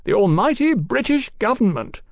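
A man's voice: high voice, very animated, moderate pace, creaky, no echo, clean studio sound, pitched above the performer's own voice, full character voice. A man voicing a taunting, fanatical revolutionary villain in an audiobook, theatrical and declamatory.

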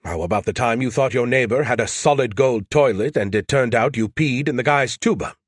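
A man voicing a cocky, chatty artificial intelligence in an audiobook, talking in a loud, eager, teasing way. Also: medium pitch, a little fast, no echo, clean studio sound, natural voice. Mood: mocking, teasing.

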